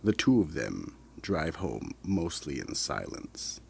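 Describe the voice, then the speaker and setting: A man narrating a radio mystery drama in a deliberate, ominous storytelling manner. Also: a bass voice, very animated, creaky, a little hiss or hum.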